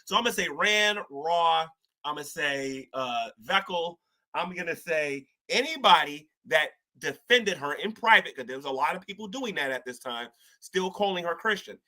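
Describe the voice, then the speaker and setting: Bright-voiced man in rambling, preachy talk. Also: a little high, animated, normal speed, rough recording. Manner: rambling, colloquial with "ain't", many restarts; preachy, talks of gospel and spiritual war. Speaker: online commentator. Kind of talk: casual self-reflective commentary.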